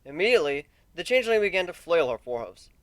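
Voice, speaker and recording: A man's voice: a little high, big pitch swings, quick pace, no echo, studio quality. A man narrating a fan fiction story as a dramatic reading.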